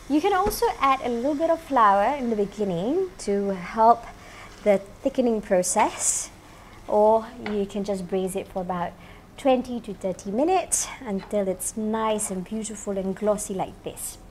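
A woman's voice: on the high side, slight background noise. Woman cooking show host, thirties, chatty and upbeat, lapel mic, faint room ambience, kitchen studio. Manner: chatty, upbeat, lots of "you know". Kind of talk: cooking demonstration to camera. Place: home-style kitchen studio, indoors.

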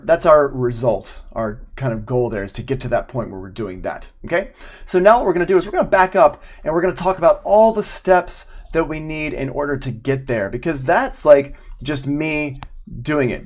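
A man in his forties giving an informal live online tutorial as an audio instructor, explaining step by step in a casual, conversational way. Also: fairly high pitch. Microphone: external microphone at a desk, with headphones.

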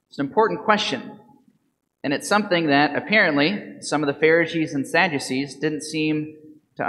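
A man in his thirties, a priest, reads the gospel and gives a calm, measured homily full of questions to the congregation. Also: a little high. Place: church sanctuary at a lectern. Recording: reverberant church from a lectern mic.

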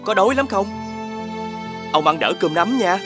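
Humble young prince, higher-pitched. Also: male voice, animated, normal speed, over music. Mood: caring. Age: young adult. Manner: gentle, respectful, earnest. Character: kind, humble young prince living as a farmer. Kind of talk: animated fairy-tale acting.

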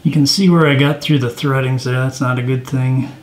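A man's voice: medium pitch, steady tone, a little fast, slight background noise, poor audio. A man hosting a home repair tutorial, talking plainly step by step as he explains the work. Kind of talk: how-to narration and muttering while working.

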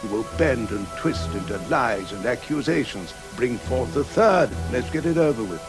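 Male actor as haunted period author, terse, defensive, animated pitch, radio play, prominent music. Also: moderate pace, lightly acted. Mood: weary, defiant. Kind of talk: radio drama acting.

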